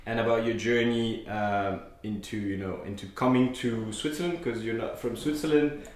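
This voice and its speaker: A man's voice: fairly low pitch, normal speed. Hesitant male host, echoey room. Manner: hesitant, many fillers. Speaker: podcast host. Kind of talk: casual interview.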